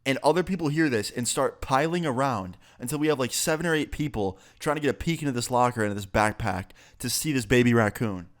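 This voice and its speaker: A man's voice: medium pitch, fairly quick, hardly any pauses. A young man, a bit sick, telling a casual, profane story on his vlog. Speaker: YouTuber.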